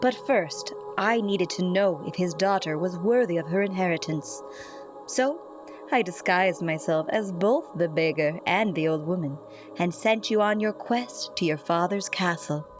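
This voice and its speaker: A woman's voice: lowish voice, unhurried, lightly acted. Casting: stately storybook fairy queen acted by a woman, gracious and formal, slightly dull sound, music behind. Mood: regal. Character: regal, gracious queen of the fairies. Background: prominent music.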